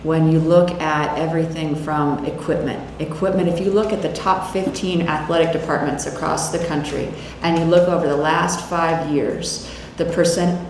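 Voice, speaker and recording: Woman executive, low voice, conversational press conference answers, heavy room echo. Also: steady tone, normal speed, hardly any pauses, darkish tone, far from the mic. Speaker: university athletic director answering questions. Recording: very echoey room with faint noise.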